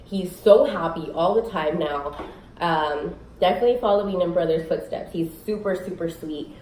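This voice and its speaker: A young woman vlogging casually to camera at home, a little sick, rambling with many fillers in a raspy voice. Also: moderate pace, darkish tone. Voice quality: raspy, low voice. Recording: echoey room, faint noise.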